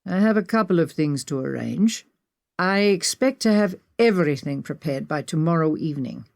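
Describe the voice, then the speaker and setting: A woman's voice: sits low, expressive, moderate pace, vocal fry, a little mellow, no room sound. A courteous, assured Egyptian man with an accent to match, played by a woman narrator, speaks as a friendly local contact in an audiobook. The sound is dry.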